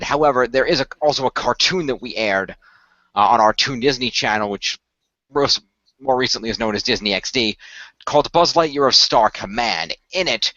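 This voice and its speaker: A man performing and narrating a semi-improvised radio play in a measured, explanatory way with formal phrasing. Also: medium pitch, sharp tone, no room sound. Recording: dry, dull lo-fi microphone.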